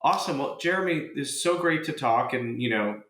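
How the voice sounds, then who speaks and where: A man's voice: medium pitch, expressive, a little fast, fairly bright tone. Upbeat male host in an echoey room. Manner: upbeat, chatty. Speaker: podcast host. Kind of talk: casual interview chat. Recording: roomy echo.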